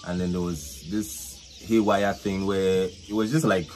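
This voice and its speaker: A man's voice: deep voice, steady tone, a little slow, low-quality recording. A man in his twenties from Nigeria, a medical student being interviewed outdoors, talking hesitantly with many fillers. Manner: hesitant, heavy use of fillers. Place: outdoors at a scenic park. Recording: outdoor recording with loud background noise.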